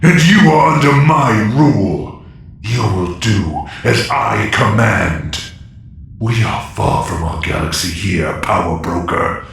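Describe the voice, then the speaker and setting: Gravelly, echoing space warlord. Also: male voice, expressive, slow pace, fairly bright tone, slight background noise, heavily acted. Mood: menacing. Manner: commanding, threatening. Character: imperious male galactic warlord, power-hungry and menacing. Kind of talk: audio drama acting. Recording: heavy echo, faint rumbling ambience.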